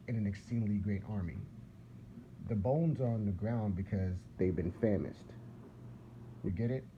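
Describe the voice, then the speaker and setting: A man's voice: low-pitched, warm tone. A man from whose was Arabic, preaching in a casual, rambling monologue that repeats words and restarts sentences. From Philadelphia. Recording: dull lo-fi sound with clear background noise.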